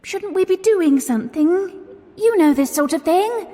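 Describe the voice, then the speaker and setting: An actress playing a nervous, exasperated young Englishwoman in a radio drama, with sharp, breathless reactions. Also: extremely high pitch, animated, moderate pace. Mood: alarmed. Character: nervous, exasperated young woman stuck in dark archives. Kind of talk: 1920s-set radio drama acting. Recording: long echoing reverb with music underneath.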